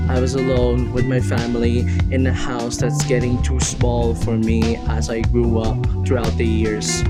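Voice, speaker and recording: A young adult narrating a personal, sentimental video essay about a game in an earnest way. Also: medium pitch. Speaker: video creator and host. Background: guitar music.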